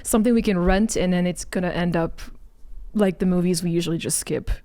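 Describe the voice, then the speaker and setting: A woman's voice: on the low side, steady tone, a little fast, mellow. A woman co-hosting a discussion show, chatting casually with a wry, sceptical manner. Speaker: co-host of a list discussion show. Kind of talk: casual joking chat.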